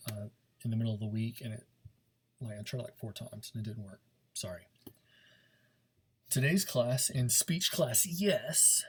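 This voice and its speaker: A man's voice: mid-range voice, a little hiss or hum. A man teaching a speech class in an informal recorded lesson, talking directly to his students and stressing and repeating key points. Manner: emphatic, demonstrative, stresses and repeats key words.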